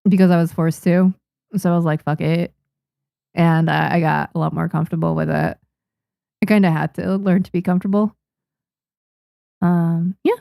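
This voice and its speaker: A woman's voice: fairly low pitch, gravelly, dark tone, clear, resonant tone, dry recording, clean studio sound. A young woman from Japan, a native Japanese speaker, chatting casually with viewers on a livestream, with frequent restarts and small laughs. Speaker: manga artist streamer. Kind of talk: casual livestream chat reading viewer comments.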